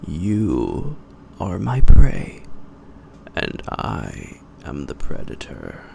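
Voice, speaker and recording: A very deep, gravelly, sadistic gentleman vampire. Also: huge pitch swings, slight background noise, full character voice. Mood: taunting, menacing. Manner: theatrical, taunting, formal old-fashioned phrasing with drawn-out delivery. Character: suave, menacing male vampire, gentlemanly and sadistic predator. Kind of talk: roleplay audio drama monologue.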